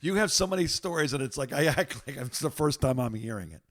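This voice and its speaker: A man in his sixties co-hosting a podcast, reminiscing casually in a halting, self-deprecating way. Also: medium pitch, animated. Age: 60s. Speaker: podcast co-host. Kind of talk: casual chat, reacting and reminiscing.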